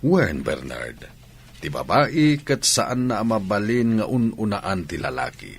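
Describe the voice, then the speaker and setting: A man playing a calm, instructive athletics coach in a radio drama, with an Ilocano Filipino accent. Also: lowish voice, slow, husky, heavily acted. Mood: explaining.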